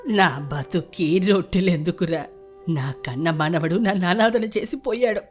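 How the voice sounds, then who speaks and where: An actor voicing a bitter, heartbroken old village grandmother in a moral story, slow and lamenting. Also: medium pitch, soft background music, natural voice. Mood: bitter, hurt. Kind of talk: dramatized moral story acting.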